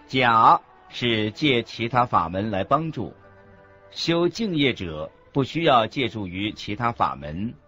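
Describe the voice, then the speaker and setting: A man playing a Buddhist monk teacher in a scripted radio lecture, husky, expressive and didactic. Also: mid-range voice, slow pace, full character voice. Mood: earnest teaching. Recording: dull lo-fi recording with faint music.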